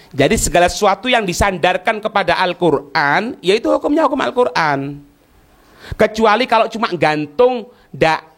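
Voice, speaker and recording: Male religious teacher, Javanese accent, fairly high voice; informal, instructive Q&A delivery with rhetorical questions. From East Java, Indonesia. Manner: colloquial, didactic, asks rhetorical questions and checks understanding. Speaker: Islamic teacher answering listeners' questions. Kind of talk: religious lecture with question and answer.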